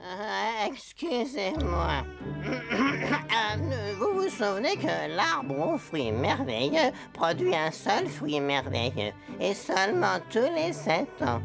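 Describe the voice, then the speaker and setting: A wise, careful cartoon tortoise speaking very slowly in a rising and falling voice. It sounds fussy and deliberate over background music. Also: female voice, mid-range voice, big pitch swings, vocal fry, full character voice. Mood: cautious.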